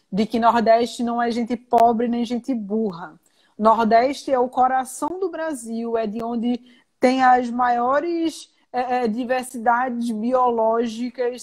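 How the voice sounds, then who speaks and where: Guest: young woman from Recife, strong Pernambuco accent, lively chatty answers. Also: on the high side. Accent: Pernambuco Portuguese. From Recife, Brazil. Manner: animated, talkative, many fillers and restarts. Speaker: guest, science communicator and entrepreneur. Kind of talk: video call interview.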